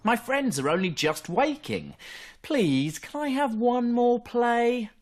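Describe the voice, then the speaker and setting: A man's voice: extremely high pitch, very animated, slow pace, pitched above the performer's own voice, full character voice. A man voicing an eager, pleading young fox cub in a bedtime story. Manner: whiny, pleading.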